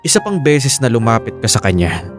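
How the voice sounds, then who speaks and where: A man's voice: medium pitch, big pitch swings, brisk, close to an everyday voice. A man acting a protective, possessive young husband in a Filipino radio drama, with a Filipino accent. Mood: jealous warning. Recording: clear music bed behind dialogue.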